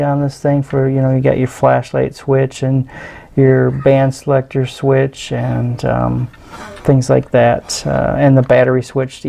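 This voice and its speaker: Slow, gravelly middle-aged man. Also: mid-range voice, warm tone. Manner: hesitant, halting, unscripted delivery. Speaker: electronics hobbyist presenter. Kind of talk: casual hands-on teardown commentary. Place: indoors at a tabletop.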